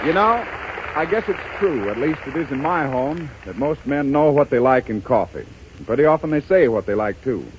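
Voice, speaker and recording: A man's voice: mid-range voice, expressive, moderate pace, a little hiss or hum. An American man announcing a scripted 1930s radio advertisement in a persuasive, emphatic way. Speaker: commercial announcer. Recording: muffled, rough 1930s radio recording.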